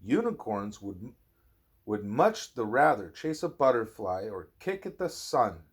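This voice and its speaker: A man narrates a children's storybook in an animated, fatherly read-aloud style. His pitch moves up and down a lot while the pace stays slow, with dramatic pauses for the listener. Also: husky.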